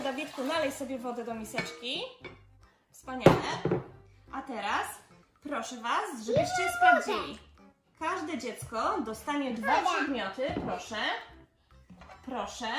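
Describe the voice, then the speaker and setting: A woman in her twenties guiding small children through a demonstration with encouraging, simple instructions and questions. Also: sits high. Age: 20s. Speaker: presenter of a children's experiment. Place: living room indoors. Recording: echoey living room, music behind.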